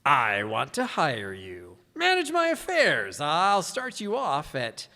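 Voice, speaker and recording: Gravelly, blustering old tycoon. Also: male voice, fairly high pitch, huge pitch swings, takes it slowly, pushed and bright, exaggerated voice. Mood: coaxing, smug. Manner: hemming, pompous, domineering. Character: scheming old rich businessman, blustering and condescending. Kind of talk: radio play acting. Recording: echoey hall, stage reverb.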